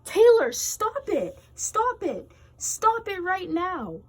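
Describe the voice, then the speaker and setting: A woman's voice: very high voice, very animated, takes it slowly, dry recording, pitched above the performer's own voice, full character voice. A woman voicing a firm but caring mother in a children's storybook, motherly and emphatic. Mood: stern, yelling.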